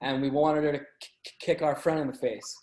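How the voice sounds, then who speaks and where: A man chatting casually as a podcast guest, telling anecdotes in a halting way, often repeating and stumbling over words. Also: a little high, steady tone, darkish tone. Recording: roomy, echoey sound.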